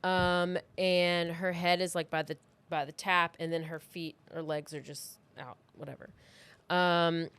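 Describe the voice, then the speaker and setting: A woman's voice: fairly low pitch, fairly even intonation, unhurried, fairly bright tone, smooth. A woman hosting a podcast, telling true-crime stories in an informal, chatty way. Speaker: podcast host. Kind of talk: casual true-crime storytelling.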